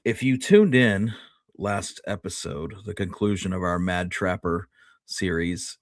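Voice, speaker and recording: A man with a low-pitched voice hosts a show in a casual, conversational way. The sound is dry, with very little room in it. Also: unhurried, dry recording. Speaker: podcast host. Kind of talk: casual chat.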